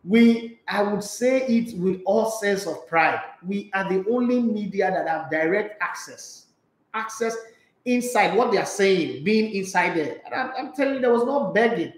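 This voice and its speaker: A middle-aged Nigerian man hosting a sports radio talk show, animated and emphatic, mixing pidgin into his English. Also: high voice, unhurried. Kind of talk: live sports talk show, reading viewer comments. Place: small radio studio. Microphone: studio microphone with headphones. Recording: echoey studio room.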